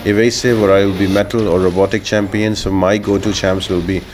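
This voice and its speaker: A man's voice: deep voice, flat delivery, fairly quick, darkish tone, slight background noise. A man doing instructional commentary for a video game guide, explaining tactics step by step. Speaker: gaming video creator.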